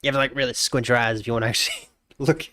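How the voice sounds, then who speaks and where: A man's voice: mid-range voice, steady tone, very fast, no room sound, clean studio sound. A man in his twenties with a blocked nose, chatting casually and jokingly on a live stream, often trailing off into mumbles. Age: 20s. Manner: joking, greets chat warmly, trails off into mumbles.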